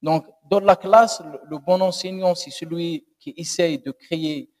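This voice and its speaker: A man, his Arabic, answering a thesis jury in a measured, explanatory way. His voice sits a little high for a man. It rings out with a long hall reverb. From Algeria. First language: Algerian Arabic. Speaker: doctoral candidate. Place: university lecture hall.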